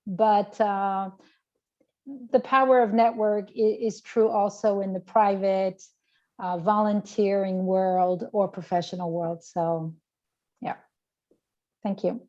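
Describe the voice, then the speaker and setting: A woman's voice: mid-range voice, little pitch movement, takes it slowly, pauses often, mellow. A woman introducing herself in an orderly, composed way over a video call. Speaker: network manager introducing herself. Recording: muffled call audio.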